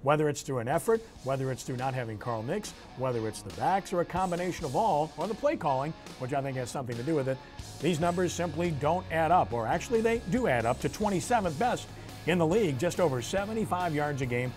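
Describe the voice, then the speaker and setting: A man's voice: fairly high pitch, animated, fast, darkish tone, faint music behind. Male sports host, emphatic delivery. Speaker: sports talk show host. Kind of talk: sports talk discussion and analysis.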